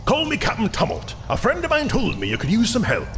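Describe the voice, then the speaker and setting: An actor playing a swashbuckling, roguish pirate captain in a theatrical fantasy cartoon style. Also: male voice, high voice, wildly swooping pitch, fairly quick, a bit bright, a little hiss or hum, full character voice. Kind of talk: fantasy audio drama acting.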